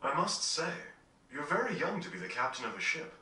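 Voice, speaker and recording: An actor playing a polite, refined, reserved gentleman in a video game, speaking with formal courtesy. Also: fairly high pitch, very animated, a little fast, heavy reverb, distant mic, exaggerated voice, low-quality recording. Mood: gracious. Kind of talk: video game cutscene acting.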